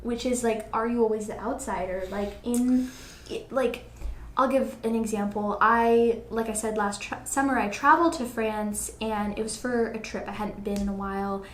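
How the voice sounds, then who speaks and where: A woman with a somewhat high voice talks casually as a podcast host. She thinks aloud in an earnest way, dropping in "like" and "you know." The room is clearly echoey, with a little noise behind her. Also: fairly even intonation, normal speed. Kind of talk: casual reflective conversation.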